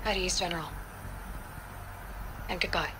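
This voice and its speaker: An actress playing a direct, composed American lead scientist in a cinematic video game scene. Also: female voice, fairly low pitch, steady tone, measured pace, noisy background, natural voice. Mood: composed, somber. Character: brisk, blunt, composed female lead scientist. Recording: music under the voice. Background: background music.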